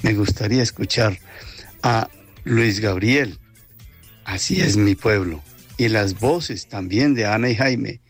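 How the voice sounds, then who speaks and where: Male radio listener, Colombian accent, lowish pitch, creaky husky voice, faint music. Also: slow. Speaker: radio listener sending a song request. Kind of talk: casual greeting and request.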